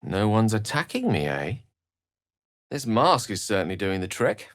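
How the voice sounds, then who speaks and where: A low, very expressive male wizard from a fantasy video game. He is learned and earnest, with a wry edge and florid, articulate phrasing. The sound is dry and close. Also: unhurried, no room sound. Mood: wry, composed. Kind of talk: scripted video game character dialogue.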